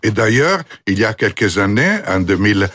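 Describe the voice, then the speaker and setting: An Italian-accented man argues emphatically in a live discussion. His voice is on the low side and husky, recorded dry and close. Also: very animated, moderate pace, fairly bright tone, dry recording. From Italy. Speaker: studio guest.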